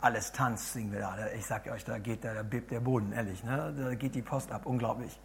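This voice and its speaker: A hoarse man giving an animated sermon, chatty and asking the audience questions, swinging between loud bursts and low asides. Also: brisk, a little hiss or hum. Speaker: preacher. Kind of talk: lively sermon to a congregation.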